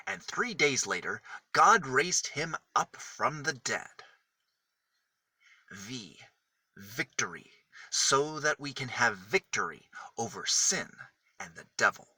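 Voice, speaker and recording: A man reading a picture book aloud for small children, with deliberate, emphatic phrasing that sets single words apart. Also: medium pitch, very animated, bright, forceful tone, slightly rough, no echo. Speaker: children's storybook reader.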